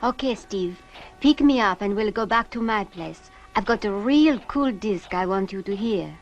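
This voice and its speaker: An actress playing a cool, affectionate, gently teasing spacewoman in a 1960s puppet series, with a languid, coaxing manner. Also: mid-range voice, lively intonation, moderate pace, lo-fi sound, close to an everyday voice. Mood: friendly. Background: loud music.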